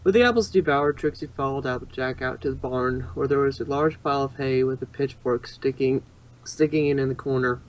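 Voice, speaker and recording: A man's voice: mid-range voice, fairly quick, low-quality recording. A man reading fan fiction aloud as an amateur narrator, sometimes stumbling and rereading phrases.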